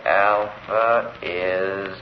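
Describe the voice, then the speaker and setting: Old radio robot servant, super slow and halting, muffled hissy recording. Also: male voice, deep voice. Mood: obedient, mechanical. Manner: halting, flat, refers to itself by name. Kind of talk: 1940s radio acting.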